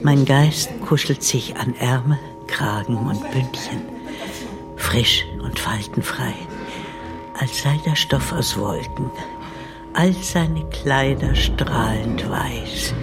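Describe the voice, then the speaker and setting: An older woman playing the ghost of a nanny in a radio drama, breathy and often half-whispering, measured and intimate, tender yet bitter. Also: extremely low pitch. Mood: tender, haunted. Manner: measured, hushed, intimate delivery. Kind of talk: literary radio drama monologue. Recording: music bed behind the voice.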